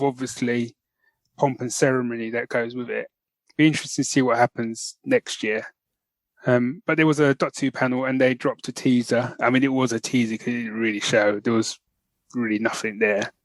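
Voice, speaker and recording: A man co-hosts a podcast in a very dry, close-sounding recording. His mid-pitched voice is informal and colloquial. He talks as in casual chat, often turning to check that he is followed. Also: steady tone, brisk, dry recording. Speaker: podcast co-host. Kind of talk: casual chat about geek news.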